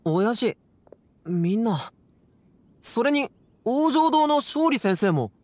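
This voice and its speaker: A man's voice: very high-pitched, very animated, slow pace, a little mellow, dry recording, heavily acted. An actor playing an earnest, direct young man in an anime game, speaking casually and bluntly. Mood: surprised, friendly. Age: young adult. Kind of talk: Japanese anime game dialogue.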